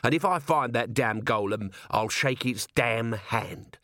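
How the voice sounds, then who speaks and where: Audiobook police commander, acted by a male narrator: gruff, curt, sardonic, quick to anger, expressive swings in pitch. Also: dry recording, studio quality. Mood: menacing, seething.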